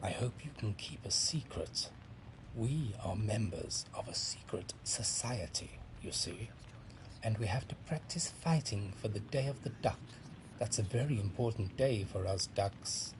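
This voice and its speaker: An actor playing a polite, secretive duck in a video game, chatty and confiding. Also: male voice, on the low side, animated, unhurried, noisy background. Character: chatty male duck, secretive sabre-practising society member. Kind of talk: video game character acting. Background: music.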